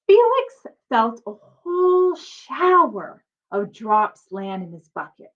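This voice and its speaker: A woman reading a children's storybook aloud in an expressive way, drawing out her emphasis and pausing to explain things to young listeners, like a teacher. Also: on the high side. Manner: animated, drawn-out emphasis, explains to children as she goes. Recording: dull lo-fi sound.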